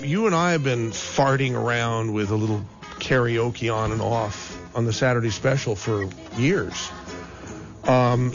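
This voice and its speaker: A man hosting a radio show who chats casually, rambles and interrupts himself, with a somewhat scratchy voice. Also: medium pitch. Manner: rambling, self-interrupting, chatty. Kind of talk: casual on-air banter. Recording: dull lo-fi radio broadcast, faint music.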